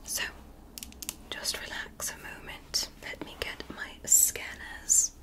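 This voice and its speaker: A woman doing a whispered ASMR roleplay as a gentle alien medical examiner, speaking in a soothing, deliberate and reassuring manner. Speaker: ASMR performer.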